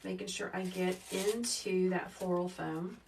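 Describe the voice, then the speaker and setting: A woman in her thirties hosting a live wreath-making tutorial, chatting calmly with viewers in a soft teacherly way. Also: fairly low pitch, a little slow, creaky. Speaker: wreath-making livestream host. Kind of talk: casual live craft tutorial. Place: small indoor craft workroom. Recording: slightly distant mic with faint noise.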